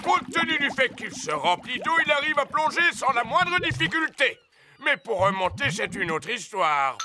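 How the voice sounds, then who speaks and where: Hearty old grandpa rabbit sailor, swooping delivery. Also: male voice, very high voice, big pitch swings, normal speed, over music. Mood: confident, hearty. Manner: theatrical, hearty, chuckling. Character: jolly old grandpa rabbit sailor, boastful boat repairer. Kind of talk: French-dubbed children's cartoon acting.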